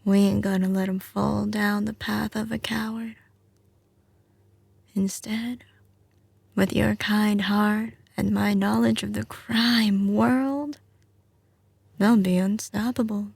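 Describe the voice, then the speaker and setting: A woman's voice: mid-range voice, fairly even intonation, takes it slowly, creaky, long pauses, a little mellow, breathy edge, no room sound, heavily acted. A woman playing a doting, confident mafia heiress girlfriend in an intimate ASMR roleplay, with a slight Southern US drawl and a tender, assured, often whispery delivery. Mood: dreamy, confident.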